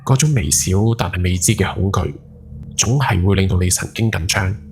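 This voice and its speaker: A man's voice: sits low, normal speed, creaky. A man playing a guilt-ridden night taxi driver in his forties, telling a horror story in Hong Kong Cantonese with brooding, dramatic emphasis. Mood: weary, brooding. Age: 40s. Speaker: ghost story podcast narrator. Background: background music.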